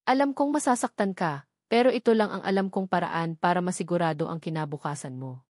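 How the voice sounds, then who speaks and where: A woman's voice: on the low side, a little fast, a little mellow, dry recording, clean studio sound, close to an everyday voice. A woman with a Filipino accent voicing a loving, dying father's earnest, apologetic letter to a daughter in an audio drama. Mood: apologetic, tender.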